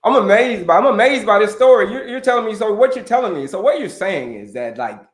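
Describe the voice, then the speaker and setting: Guy hosting a health chat, talks fast, eager, repeats himself, roomy echo. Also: high voice, expressive. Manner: eager, repeats phrases, asks questions. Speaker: host, health coach. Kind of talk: casual interview conversation. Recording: echoey room.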